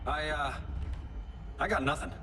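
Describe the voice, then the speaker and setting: A man's voice: a little high, unhurried, noisy background, lightly acted. A man playing a cocky, flippant archer hero in a video game, with a glib conversational delivery. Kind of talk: video game cutscene acting. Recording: loud music behind the voice.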